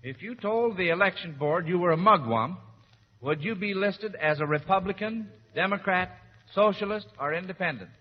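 A man's voice: high-pitched, expressive, normal speed. A man hosting an old-time radio quiz show in a folksy, hesitant, avuncular manner. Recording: muffled old radio recording, reverberant, faint noise.

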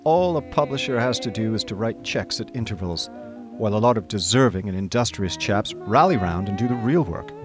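An actor playing an idle, chummy young upper-class gentleman, narrating a radio drama in breezy, wordy old-fashioned slang. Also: on the low side, expressive, a little fast, lightly acted. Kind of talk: scripted first-person radio drama narration. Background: music.

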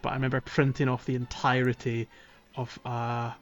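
A man co-hosting a gaming podcast, casually walking through game events in a conversational, slightly halting way. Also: medium pitch, monotone, muffled recording. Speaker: podcast co-host. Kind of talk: casual game walkthrough chat.

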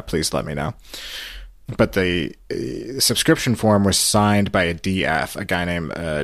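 A man's voice: deep voice, a bit bright, dry recording, clean studio sound. An American man hosting a podcast, chatting casually through a recap. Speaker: podcast host.

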